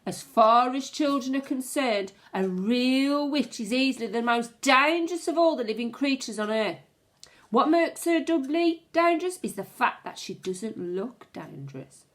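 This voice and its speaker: A woman reads a children's storybook aloud like a primary school teacher. Her voice sits fairly high and swings widely in pitch. She takes her time, delivering it dramatically and with suspense. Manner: expressive, suspenseful, deliberate storytelling.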